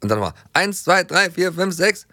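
A man chatting casually as a podcast host, telling anecdotes in an animated, stumbling way and often interrupting himself. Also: sits high, moderate pace, no room sound, studio quality. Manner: animated, stumbling and self-interrupting, acts out remembered lines.

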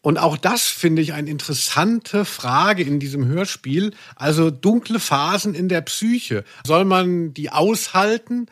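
A man's voice: on the high side, animated, measured pace, hardly any pauses, a bit bright. A man co-hosting a casual podcast discussion in a reflective way, searching for his words. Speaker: podcast co-host.

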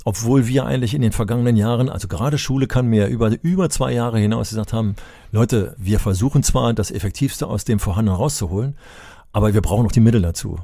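A man's voice: fairly low pitch, fast talker, creaky, warm tone, dry recording, studio quality. An older man from Berlin co-hosting a podcast, talking emphatically and getting heated at times. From Germany (Berlin). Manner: impassioned, emphatic when angered, rhetorical. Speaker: podcast co-host, education advocate. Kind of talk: conversational podcast discussion.

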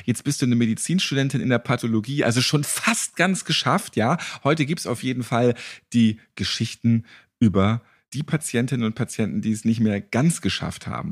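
A man's voice: mid-range voice, breathy edge. Playful man hosting a casual podcast. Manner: jokey, playful. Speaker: podcast host.

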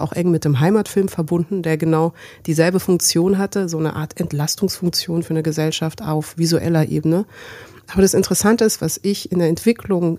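A woman's voice: lowish voice, dark tone, dry recording. A woman co-hosting a podcast, talking in a casual, conversational way. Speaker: podcast co-host.

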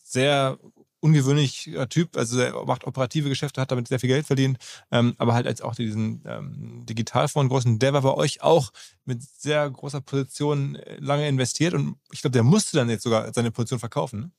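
A man's voice: medium pitch, fairly even intonation, brisk, gravelly, darkish tone, no room sound, clean studio sound. A man hosting a podcast, asking casual interview questions in an informal way, thinking aloud as he goes. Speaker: podcast host.

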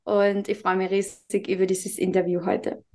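A woman's voice: mid-range voice, flat delivery, normal speed. A young woman from Carinthia with an Austrian accent, talking openly as a podcast guest with plenty of filler words. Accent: Austrian German, Carinthian. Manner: warm, open, lots of fillers. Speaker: podcast guest, mindset coach. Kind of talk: casual podcast interview.

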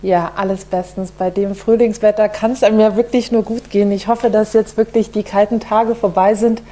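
A woman's voice: medium pitch, fairly even intonation, normal speed, dark tone. A woman speaking as an interview guest, cheerful with short answers. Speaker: guest, sports general manager.

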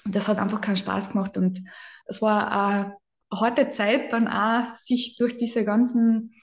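A woman in her thirties from southern Austria with a Carinthian accent, chatting as a physiotherapist guest on a podcast, lively and self-deprecating. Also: mid-range voice, unhurried, roomy sound. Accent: Carinthian Austrian German. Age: 30s. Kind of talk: casual podcast interview.

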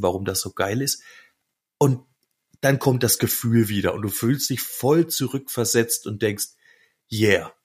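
A man's voice: mid-range voice, breathy edge. A man co-hosting a podcast, telling personal stories casually in colloquial, slangy speech. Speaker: podcast co-host. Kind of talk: casual chat, personal storytelling.

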